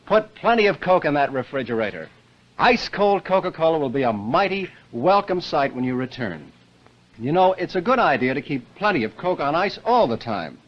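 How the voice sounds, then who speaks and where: Dull old radio recording, male announcer, animated high voice, scripted commercial read. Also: moderate pace. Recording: band-limited vintage radio recording.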